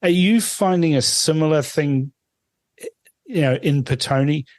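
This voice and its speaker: A man's voice: a little high, a little slow, no room sound, clean studio sound. A man from Fiji hosting a radio interview, asking long, chatty, rambling questions. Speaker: radio host.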